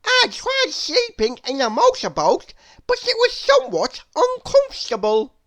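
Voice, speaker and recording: A woman's voice: very high voice, wildly swooping pitch, a little slow, a bit bright, pitched above the performer's own voice, heavily acted. A woman voicing a silly, cheerful male character from a children's storybook, with exaggerated, childlike delivery. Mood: earnest, silly.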